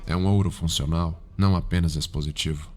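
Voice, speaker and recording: A man's voice: low-pitched, steady tone, a little fast, a little mellow, close to an everyday voice. A man playing a refined, sinister, erudite serial killer in a Brazilian Portuguese ASMR roleplay, speaking pompously and precisely, savoring his words. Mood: clinical, savoring.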